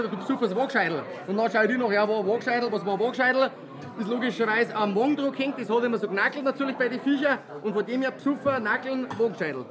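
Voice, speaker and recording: A man in his thirties with a broad Upper Bavarian accent speaks quickly in a fairly high voice. He rambles and laughs easily. Lively chatter from a crowded inn fills the background. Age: 30s. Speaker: interviewee, regulars' table member. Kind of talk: casual interview chat. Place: busy village inn.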